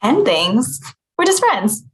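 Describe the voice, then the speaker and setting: A woman's voice: fairly high pitch, big pitch swings, slow pace. A woman reading the part of a sarcastic, easily insulted young woman, snippy and incredulous, at a comedy table read.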